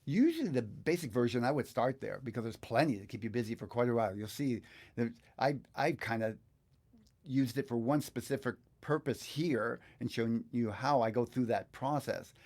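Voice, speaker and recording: Older man with a gravelly, creaky voice. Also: mid-range voice, lively intonation, normal speed, darkish tone. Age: older, 50s to 60s. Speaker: guitar teacher hosting a live online session. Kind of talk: casual live tutorial. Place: indoor home studio.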